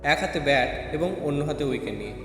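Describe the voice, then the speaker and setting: A man's voice: on the high side, animated, a little fast. A man narrating a scripted first-person audio story. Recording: echoey with long reverb. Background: faint music.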